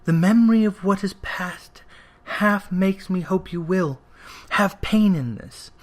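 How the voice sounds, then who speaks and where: A narrator voicing a gentle, dignified young woman who speaks with earnest sorrow and resolve in an old storybook drama. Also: medium pitch, takes it slowly, voice pushed up, close to an everyday voice. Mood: pained resolve. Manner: earnest, soft-spoken, dignified.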